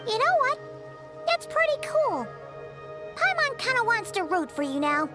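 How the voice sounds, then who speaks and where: A woman's voice: very animated, measured pace, exaggerated voice. A woman voicing a small, childlike fairy companion in a video game, chirpy, curious and earnest. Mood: cheerful, supportive. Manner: refers to self in third person, squeaky and earnest. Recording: music playing behind.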